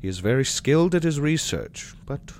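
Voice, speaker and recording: A man's voice: on the high side, sing-song, moderate pace, slight background noise. A man playing a courteous, genteel doctor and scientist in a sci-fi audio drama. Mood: genial. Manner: genteel, formal.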